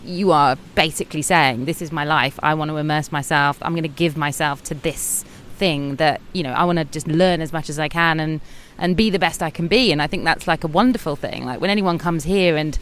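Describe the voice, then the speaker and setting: A woman with a very low voice hosts an interview, speaking eagerly and loosely with lots of fillers. She asks long, chatty questions. Faint background noise sits under her voice. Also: fairly quick, fairly bright tone. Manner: enthusiastic, chatty, many fillers. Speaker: show host, interviewer. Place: outdoors on a beach.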